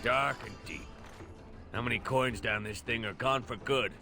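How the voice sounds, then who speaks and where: A man's voice: medium pitch, normal speed, vocal fry, natural voice. A man playing a cautious, dry-humoured rogue companion in a video game. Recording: music behind game dialogue.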